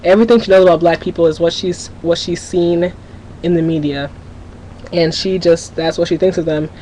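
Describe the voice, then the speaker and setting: A woman's voice: fairly low pitch, fairly even intonation, normal speed, dark tone, a little hiss or hum, poor audio. A teenage girl from Minnesota talking candidly to her webcam at home in a rambling vlog, often restarting her sentences. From Minnesota, USA. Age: teens. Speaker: vlogger. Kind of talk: casual confessional webcam vlog.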